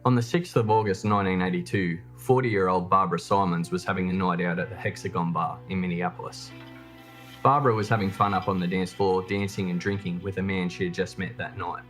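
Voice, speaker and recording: A man's voice: sits low, steady tone, a little fast, fairly bright tone, faint music behind. A man reading a scripted true crime documentary narration as its host.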